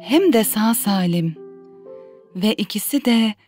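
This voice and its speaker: A woman voicing a young mother who gently tells her children a religious story in a cartoon dub. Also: medium pitch, animated, slow pace, soft background music. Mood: gentle, reverent.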